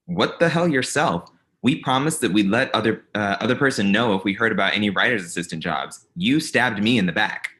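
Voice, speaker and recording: An actor at a comedy table read playing an aggrieved, smugly ambitious office rival, earnest and combative. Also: male voice, on the low side, animated, a little fast, fairly bright tone.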